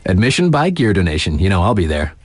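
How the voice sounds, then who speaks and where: Lively, laid-back snowboard radio DJ guy. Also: sits low, quick pace, no echo. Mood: wry, amused. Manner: casual, slangy, hyping up listeners. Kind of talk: radio DJ announcements in a video game.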